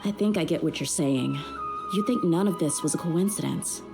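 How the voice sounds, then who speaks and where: A woman's voice: low-pitched, moderate pace, gravelly, music in the background. An actress playing a young female mercenary bodyguard in a game, speaking frankly and directly. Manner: frank, direct, plain-spoken. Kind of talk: game voice acting.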